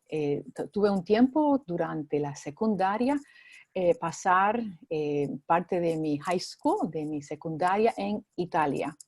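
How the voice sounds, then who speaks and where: A middle-aged woman with a Cuban accent speaks in a low, deep-set voice. She chats easily as an interview guest, often pausing on "eh" fillers. The recording is dry and close, with no room sound. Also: dark tone, slightly rough, dry recording. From Cuba. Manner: chatty, frequent "eh" fillers. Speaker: guest in ministry. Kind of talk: conversational interview.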